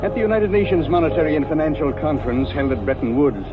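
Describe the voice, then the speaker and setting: A man acting a distinguished, middle-aged executive in a 1940s radio play. He speaks formally and courteously in a slightly high voice, earnest and easily fretful. The old recording is lo-fi with loud music behind. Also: animated, quick pace, lightly acted. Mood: self-important, courteous.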